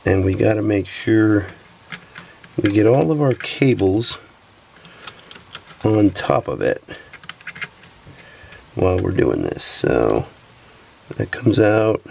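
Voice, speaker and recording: A man's voice: on the low side, takes it slowly, creaky, pauses often, slight background noise. A sniffly man talking through a camera repair step by step as he works at a workbench. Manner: relaxed, talks while working with hands. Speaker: repair technician. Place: workbench, indoors.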